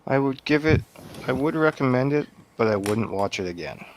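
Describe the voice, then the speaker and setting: A man of medium pitch gives his view in a casual review chat. There is a faint layer of noise behind his voice. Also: animated, normal speed, poor audio. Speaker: guest movie reviewer.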